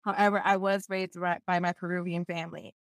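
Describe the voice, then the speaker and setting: A young woman tells personal stories in a casual interview, candid and animated, with slang and emphatic repetition. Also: lowish voice, fairly quick, dry recording, studio quality. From Philadelphia, United States. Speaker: interview guest, entrepreneur.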